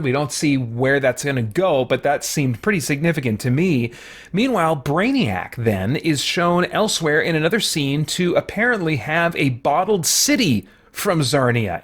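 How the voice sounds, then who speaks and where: A man with a moderately high-pitched voice hosts a casual review show. He speaks off the cuff in a discursive way, giving frank personal opinions as he goes. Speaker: comics review show host. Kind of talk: casual unscripted comic book review.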